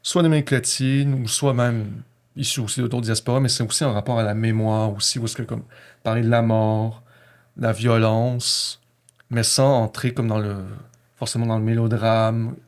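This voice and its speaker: A man's voice: mid-range voice, flat delivery, moderate pace. A man with a Québécois accent reflecting personally in conversation, feeling his way through sentences and often correcting himself. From Quebec, Canada.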